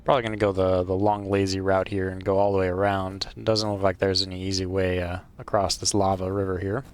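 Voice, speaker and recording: A man's voice: low-pitched, level tone. A man hosting a let's play, casually commenting on his game in a hesitant, rambling way full of ums and uhs, recorded on a wired mic at a home gaming setup. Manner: hesitant, filler-heavy, unhurried rambling. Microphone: wired microphone.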